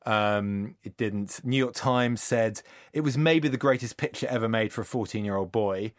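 A man's voice: fairly low pitch, moderate pace, no echo. A man, a podcast guest, talking in a dry, sardonic, scathing way in casual chat.

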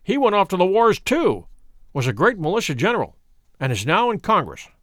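A man's voice: sits high, sing-song, a little fast, a bit bright, no echo, clean studio sound. A man reading aloud voices an old village man with a thin, piping voice, recounting news in a chatty, matter-of-fact way.

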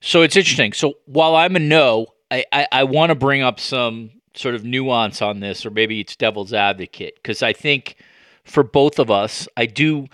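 A man hosting a podcast interview in a conversational way, deliberately working around to his questions with careful qualifications. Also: medium pitch, fairly bright tone, no echo, studio quality. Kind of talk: conversational sports media interview.

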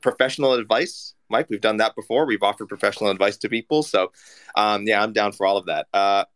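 A man's voice: lowish voice, fairly bright tone. A man co-hosting a call-in show, playful and prone to stumbling restarts. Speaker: co-host. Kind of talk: casual chat.

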